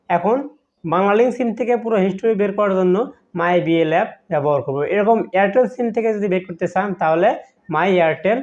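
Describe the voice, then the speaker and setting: High-voiced Bangladeshi man, dull muffled recording. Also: a little mellow. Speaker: tech tutorial host. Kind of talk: step-by-step phone app tutorial.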